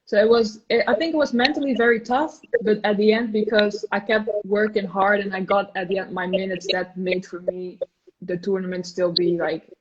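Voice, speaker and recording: A woman's voice: mid-range voice, steady tone, moderate pace, slightly rough. Cheerful Dutch woman, American-tinged English. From Netherlands. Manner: cheerful, giggly, earnest. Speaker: guest. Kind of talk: casual chat. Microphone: phone. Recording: muffled phone audio.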